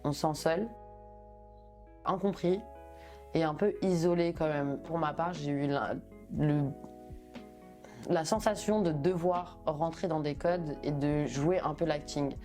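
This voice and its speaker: Very low, dark-voiced woman. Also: a little slow, pauses often, faint music behind.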